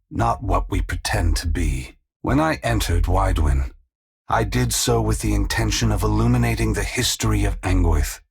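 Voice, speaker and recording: Gravelly, slow, ancient male god. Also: fairly low pitch, heavily acted. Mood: solemn, explanatory. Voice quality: gravelly, husky. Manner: grave, measured, formal, oracular. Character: ancient, solemn, compassionate male sun god, resolute and unhurried. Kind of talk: fantasy video game voice acting.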